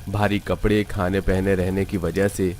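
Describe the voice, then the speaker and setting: A male storyteller with a low voice narrates a domestic cartoon tale. His delivery is scripted narration, set out as a story for viewers. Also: level tone, a little slow, darkish tone, slight background noise.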